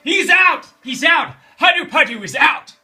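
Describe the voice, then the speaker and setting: A man voicing a small, excitable tattletale bird in a bedtime story, with a screeching voice. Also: very high voice, measured pace, pitched above the performer's own voice, full character voice. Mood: shrill alarm.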